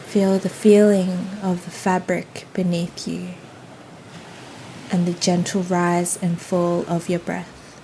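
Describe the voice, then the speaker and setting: Woman, meditation guide, very even low-pitched voice, soothing delivery, soft ocean wash behind. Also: low-quality recording. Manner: soothing, gentle, unhurried. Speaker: yoga nidra guide. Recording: faint steady ocean noise behind. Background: ocean waves ambience.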